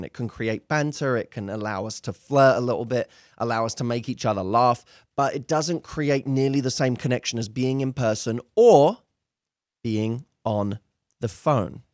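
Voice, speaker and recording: A man speaks as a dating coach talking directly to his audience. His mid-pitched voice is recorded dry and close. He explains things conversationally, stressing key points and voicing sample lines as if in a real chat. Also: a little mellow, dry recording. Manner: emphatic, acts out example phone dialogue. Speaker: dating coach and show host. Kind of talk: conversational advice monologue to viewers.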